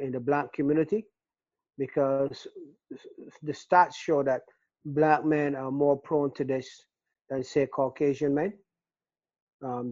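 An older man from Barbados with a Barbadian accent, telling his story in a casual interview, earnest and halting with repeated words. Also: medium pitch, a little mellow, no echo. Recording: dull, dry lo-fi recording.

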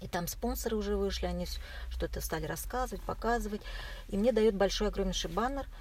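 A woman recounting her experiences as an interview guest in casual, halting speech, often searching for words. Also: medium pitch, a little mellow. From Russia. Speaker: interview guest, marathon runner. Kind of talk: casual spoken recollection. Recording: clear background noise.

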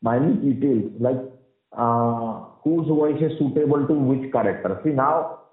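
A man with a husky, heavy voice, explaining at length as an interview guest, with many fillers and restarts. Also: mid-range voice, a little slow. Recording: roomy, tinny phone-like sound.

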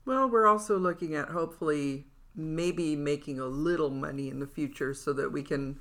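Older female podcast cohost, bright clear tone, calm and measured. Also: deep voice. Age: 60s. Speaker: podcast co-host. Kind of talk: casual chat.

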